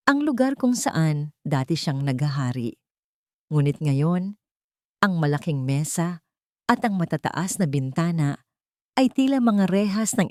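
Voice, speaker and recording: A woman's voice: on the low side, a little mellow, no room sound, clean studio sound. A woman reading a dramatic story as an audiobook narrator.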